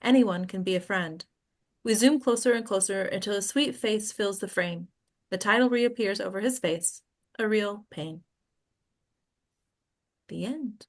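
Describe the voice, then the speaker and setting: A woman's voice: medium pitch, measured pace, long pauses. A woman hosting a table read, reading out screenplay stage directions as scripted narration.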